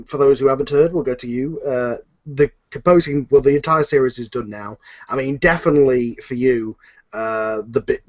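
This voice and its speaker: A man's voice: mid-range voice, dull audio. A man hosting a casual panel discussion in a rambling, effusive way. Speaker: host and series producer.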